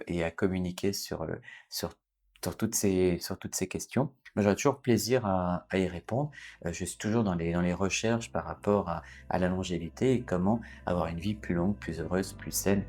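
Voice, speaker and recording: A man in his forties, a doctor from France, explaining a topic to his viewers in an unscripted way, pausing often to correct himself and adding fillers. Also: low-pitched, darkish tone. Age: 40s. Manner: explanatory, many hesitations and self-corrections. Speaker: doctor and channel host. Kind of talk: unscripted educational talk to camera.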